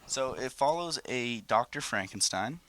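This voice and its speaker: A man in his twenties co-hosting a podcast, bantering in casual chat with animated, joking delivery. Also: medium pitch, unhurried, background noise. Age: 20s. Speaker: podcast co-host.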